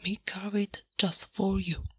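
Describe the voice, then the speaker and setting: A woman voicing a big, blunt, smitten and naive female orc in whispered, halting broken English for an ASMR roleplay. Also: medium pitch, level tone, fairly bright tone. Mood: proud, tender. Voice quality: breathy, whispery. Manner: halting broken English, speaks of herself in third person. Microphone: close-up ASMR microphone. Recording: close, intimate microphone.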